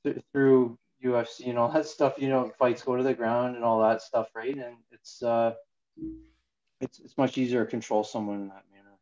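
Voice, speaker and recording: A man's voice: medium pitch, fairly even intonation, moderate pace, a little mellow. Hesitant man in his forties, dull recording. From Ontario, Canada. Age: 40s. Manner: hesitant, many fillers. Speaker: guest, jiu-jitsu student. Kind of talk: casual interview.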